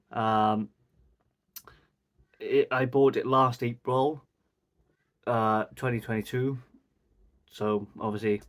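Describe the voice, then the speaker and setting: A Welsh man in his twenties from South Wales, rambling through a casual Q&A vlog to a mic and camera with lots of fillers. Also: medium pitch. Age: 20s. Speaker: vlogger. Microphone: mic facing camera.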